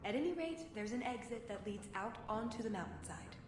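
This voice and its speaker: A woman's voice: on the high side, expressive, fairly quick, lightly acted. An actress playing a cheeky, self-assured female spy with a breezy, wry manner in a video game. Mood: matter-of-fact. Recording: reverberant hall, noisy ambience behind.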